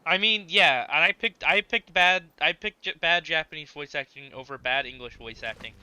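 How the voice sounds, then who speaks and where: A man streaming a game, chatting casually with his chat while his voice is going hoarse from long streams. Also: fairly high pitch, moderate pace, pushed and bright, no room sound. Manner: rambling, chatty, talks back to chat. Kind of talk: casual gaming stream commentary.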